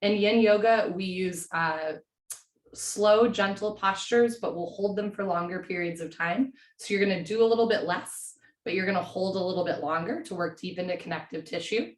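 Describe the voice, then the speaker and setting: A woman with a hoarse voice from a cold, teaching a yin yoga class from home in a slow, gentle, soothing way. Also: medium pitch, roomy sound.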